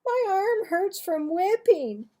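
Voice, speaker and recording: A small boy in a children's storybook, played by a woman. He sounds scared and plaintive as he answers his father, in a high, lively voice. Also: slow pace, exaggerated voice. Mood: whining. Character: scared, whining little boy in trouble with father.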